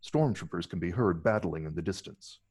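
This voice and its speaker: A man's voice: deep voice, lively intonation, normal speed, darkish tone, slightly rough. A man narrating stage directions from a film script in a dramatic table read.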